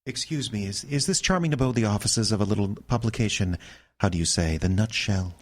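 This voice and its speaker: An actor playing a suave, courtly charmer in a radio comedy mystery, with flowery, formal, romantic flattery. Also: male voice, fairly low pitch, a little fast, natural voice. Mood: courtly, inquiring. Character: suave, courtly older male charmer, theatrically romantic con man.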